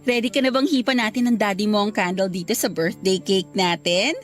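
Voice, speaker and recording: A woman's voice: medium pitch, steady tone, a little fast, over music, lightly acted. An actress playing a caring, tender mother of a young girl in a Filipino radio drama. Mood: gentle, caring.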